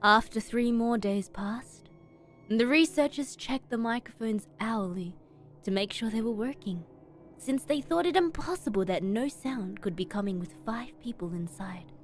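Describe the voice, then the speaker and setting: A woman streamer reading a horror story aloud in expressive, dramatic narration with emphatic pauses. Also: a little high. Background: background music.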